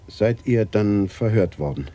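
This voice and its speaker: An actor playing a quiet middle-aged man in a German radio drama, questioning soberly in a raspy, breathy voice. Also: fairly low pitch, expressive, measured pace. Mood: probing. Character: quiet, probing middle-aged man seeking his family's truth.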